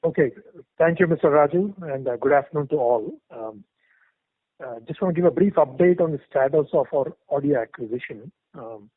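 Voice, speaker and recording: A man's voice: on the high side, moderate pace, husky, dry recording. A man with an Indian accent giving a polite, careful company update as an executive on an investor call. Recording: dry, tinny phone conference line.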